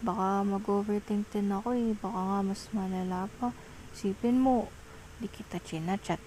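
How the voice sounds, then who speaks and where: Soft, mellow Filipino girlfriend, acted by a woman. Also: medium pitch, a little hiss or hum, natural voice, poor audio. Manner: soft, hesitant, coaxing. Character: apologetic young girlfriend, tender, pleading, soothing her jealous partner. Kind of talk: intimate ASMR girlfriend roleplay.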